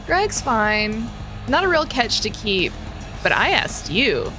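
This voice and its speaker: An actress playing a bold, coaxing young woman out partying in an audio drama, with expressive delivery. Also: a little high, slow pace, music in the background, low-quality recording. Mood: exasperated, coaxing.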